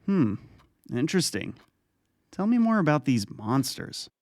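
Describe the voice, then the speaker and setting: A man playing a patient therapist in an audio drama, measured and reflective, gently questioning. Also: on the high side, big pitch swings, dry recording, studio quality. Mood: calm, probing. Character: calm, probing male therapist, patient doctor.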